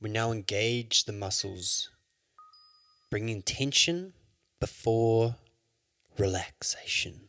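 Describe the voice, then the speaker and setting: A man leading a guided yoga nidra meditation as an instructor, with soothing, measured instructions. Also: on the low side, sharp tone, no room sound.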